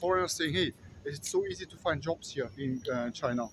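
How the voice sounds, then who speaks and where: A man's voice: fairly high pitch, fast, background noise. A man in his thirties with a German accent, born in Kazakhstan, rambling casually with many restarts while walking and filming himself outdoors at night. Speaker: vlogger. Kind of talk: casual walking vlog talk. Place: city streets at night, outdoors. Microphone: phone at arm's length.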